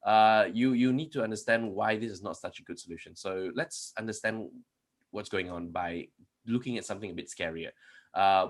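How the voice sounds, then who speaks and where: A man giving a conference talk on programming, explaining step by step with many restarts and rhetorical questions while typing code. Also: fairly low pitch. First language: not Polish. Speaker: conference speaker. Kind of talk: live-coding tech talk.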